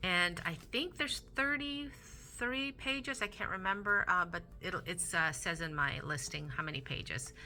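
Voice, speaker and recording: A woman hosting a casual crafting vlog, chatty and rambling with many fillers, a little hoarse from losing her voice. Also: lowish voice, a little slow, hardly any pauses, a little hiss or hum. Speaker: crafting channel host. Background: soft Christmas music.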